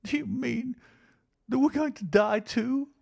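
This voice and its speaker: A man reading an audiobook, voicing an innocent little frontier girl in a high, childlike rustic dialect. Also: unhurried, vocal fry, darkish tone, breathy edge, dry recording, heavily acted. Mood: eager. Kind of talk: audiobook character voice.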